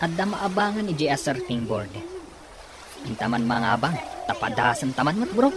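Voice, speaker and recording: A man's voice: mid-range voice, sing-song, moderate pace, a crowd in the background, natural voice. A man acting an eager, encouraging young office buddy in an Ilocano radio drama, coaxing and chummy in an Ilocano accent. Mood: enthusiastic.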